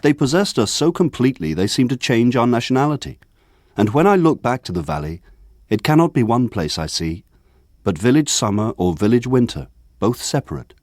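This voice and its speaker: An actor playing a grown man looking back on his village childhood, narrating in a measured, lyrical way. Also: medium pitch, big pitch swings, a little mellow, no echo, studio quality. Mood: wistful. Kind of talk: scripted literary narration for radio drama.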